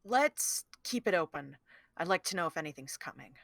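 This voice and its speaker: A woman plays an uneasy female crew member on a spaceship in a sci-fi script reading. Her voice is sharp and bright, hesitant and puzzled, recorded very dry. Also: fairly low pitch, expressive, normal speed, vocal fry, dry recording, full character voice. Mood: wary. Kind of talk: scripted sci-fi table read.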